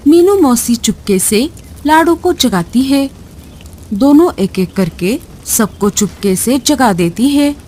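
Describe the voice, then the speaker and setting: A woman's voice: high-pitched, animated, takes it slowly, darkish tone, a little hiss or hum. A woman narrating a scripted children's horror story as a storyteller. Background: music.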